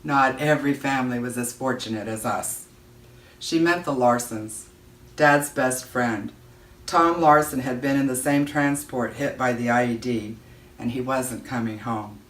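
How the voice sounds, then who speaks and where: A woman giving an expressive read-aloud of a children's novel, narrating as a storyteller. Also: a bass voice, unhurried. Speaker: audiobook-style reader. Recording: roomy echo, distant microphone.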